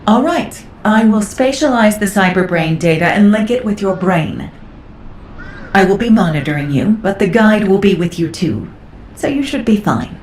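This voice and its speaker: A woman playing a curious, analytical scientist in a video game, with deliberate, thoughtful delivery. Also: medium pitch, expressive, darkish tone, natural voice. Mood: upbeat, intrigued. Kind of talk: video game dialogue acting. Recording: roomy game audio, faint noise.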